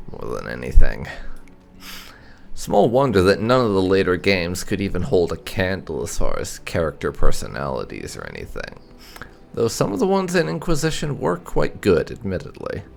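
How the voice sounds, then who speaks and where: A man giving casual, chatty let's play commentary on a game, musing with hesitant pauses. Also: sits low, creaky. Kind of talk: casual gameplay commentary, reading dialogue choices aloud.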